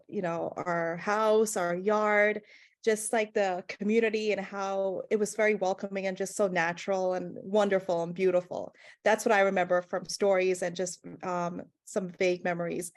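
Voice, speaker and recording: A woman in her thirties from Guyana, a pharmacist guest answering interview questions in an earnest, rambling way with many fillers. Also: mid-range voice.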